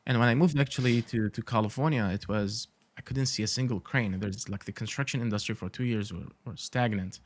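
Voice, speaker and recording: A man's voice: medium pitch, a little fast, hardly any pauses, dry recording. A man from Iraq, an architect in his forties, chatting as a podcast guest, with many fillers and dry humor. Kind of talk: casual interview talk.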